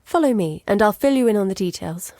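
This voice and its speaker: A woman acting as a determined scholar-adventurer from a fantasy video game. She speaks at a mid pitch, articulate and businesslike, laying out missions in measured phrases. The recording is close and dry. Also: animated, dark tone, dry recording, close to an everyday voice. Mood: businesslike, inviting. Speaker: quest-giver character in an action RPG. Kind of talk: scripted game dialogue, briefing.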